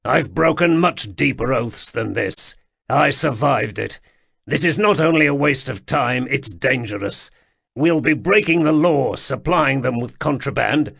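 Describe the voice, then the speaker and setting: A man's voice: medium pitch, expressive, measured pace, vocal fry, fairly bright tone, husky, exaggerated voice. A man voicing a bitter, hardened warrior who speaks in a curt, scornful way. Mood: flatly dismissive.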